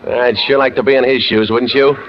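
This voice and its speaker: An American actor playing a folksy, talkative, nosy bus passenger in a 1940s radio drama. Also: male voice, mid-range voice, fairly even intonation, brisk, slight background noise, lightly acted. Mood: chatty, envious. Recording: muffled old radio recording, music behind.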